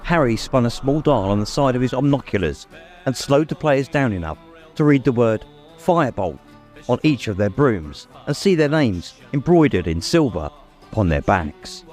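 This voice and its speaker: A man narrates an audiobook in an expressive, suspenseful storytelling style. His medium-pitched voice moves with lively intonation, and music plays behind it. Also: moderate pace. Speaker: audiobook reader. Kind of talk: dramatic scripted story narration. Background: music, immersive production.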